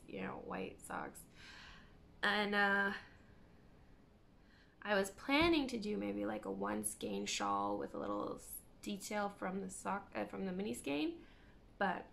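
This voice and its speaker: A woman in her thirties from Canada talks slowly and loosely to the camera in her living room. Her voice is hoarse from a cold and crackles with fry. A faint hiss sits under the recording. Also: mid-range voice, a bit bright. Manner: chatty, meandering, self-correcting. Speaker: knitting podcast host. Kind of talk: casual chat to camera. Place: living room by a window, indoors.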